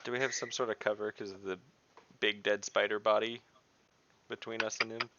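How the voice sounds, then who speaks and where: A man talks casually through game rules as a player. His voice is fairly low with a bright, forward edge. The online call audio is a little dull. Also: level tone, a little fast.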